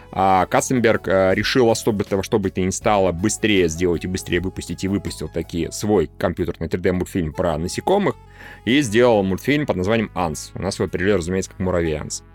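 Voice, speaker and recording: A man co-hosting a podcast, discussing films informally and swearing freely. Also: sits low. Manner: informal, profane. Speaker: podcast co-host. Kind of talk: casual film discussion. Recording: music bed behind the voice.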